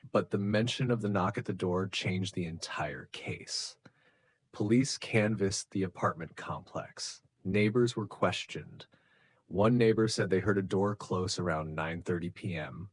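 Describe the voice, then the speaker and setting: A man narrating a scripted true crime story in a measured, suspenseful way, with short deliberate sentences. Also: deep voice, husky, dry recording. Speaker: narrator of true crime stories for English learners.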